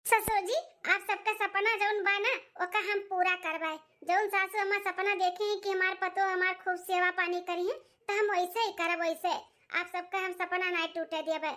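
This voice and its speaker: An extremely high, childlike cartoon voice acted out as a village woman of an Indian family comedy. The tone is bright and piercing, with a rustic Awadhi accent from eastern Uttar Pradesh. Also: steady tone, normal speed. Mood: reassuring, dutiful. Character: women of a village family: bossy sister-in-law, meek young bride, mother-in-law.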